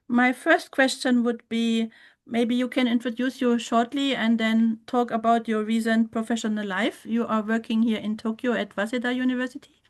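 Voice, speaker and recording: A woman hosting an interview as a conference chair, asking questions hesitantly with many fillers. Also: fairly high pitch.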